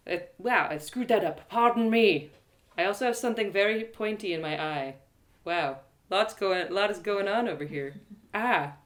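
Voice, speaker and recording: A woman narrates a fantasy tale in the first person, in the manner of a live story reading. Her mid-range voice moves with very lively, expressive intonation. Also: medium pitch. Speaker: storyteller, audio drama writer and reader.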